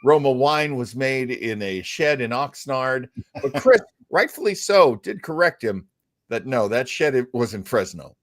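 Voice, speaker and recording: A man's voice: mid-range voice, lively intonation, unhurried, no room sound, studio quality. A man hosting a casual live show, chatting in a jovial, folksy way with exclamations. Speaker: show host.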